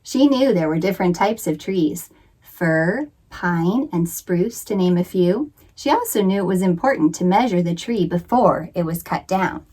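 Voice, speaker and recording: A woman narrating a children's picture book in an expressive, theatrical read-aloud style with suspenseful emphasis. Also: on the low side, a little slow. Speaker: children's author and librarian reading aloud.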